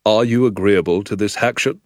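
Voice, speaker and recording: A man voicing a stern, officious village police sergeant who speaks in slow, ungrammatical country talk. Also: on the low side, no room sound, studio quality, lightly acted. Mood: placating.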